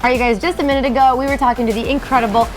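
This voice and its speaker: A woman with a slightly high voice hosts a TV chat segment. She moves quickly between questions with upbeat patter, and faint music sits beneath her. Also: fairly even intonation. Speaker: TV talk show host. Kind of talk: casual interview chat.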